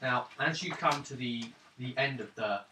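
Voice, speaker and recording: A man acting as game master, describing scenes of a tabletop roleplaying game in halting, pausing phrases. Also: medium pitch. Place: indoors around a gaming table. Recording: roomy, distant mic, clearly noisy.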